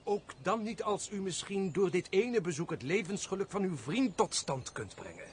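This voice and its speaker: A man acting an eager, insistent gentleman in a radio play, speaking formally and emphatically in old-fashioned theatrical Dutch style. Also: sits high, animated, moderate pace, a little hiss or hum. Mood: pleading. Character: hearty, insistent gentleman friend, eager suitor.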